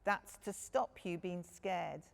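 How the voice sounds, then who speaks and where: A big grown-up bear voiced by a woman storyteller speaks in a patient, caring way, reassuring and a little puzzled. The delivery is drawn out and creaky, made for a children's storybook. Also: on the low side, takes it slowly, big-room sound.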